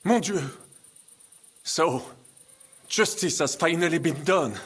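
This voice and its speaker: Slippery French nobleman, high-pitched and husky. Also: slow pace, fairly bright tone, heavily acted. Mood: ingratiating. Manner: theatrical, wheedling, peppers speech with French phrases. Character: slippery, treacherous French nobleman, smooth-talking and scheming. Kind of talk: dramatic video game acting. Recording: music underneath the dialogue.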